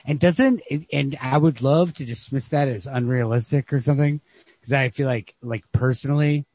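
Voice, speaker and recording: A man's voice: mid-range voice, normal speed, a little mellow. A man talking casually about literature as a podcast host, on a lo-fi home recording.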